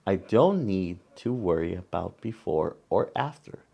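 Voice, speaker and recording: A man with a fairly low, creaky voice reads a picture book to children. He goes very slowly and gently, leaving space between phrases and turning to the young listeners with little asides. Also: expressive. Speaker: children's storyteller and host.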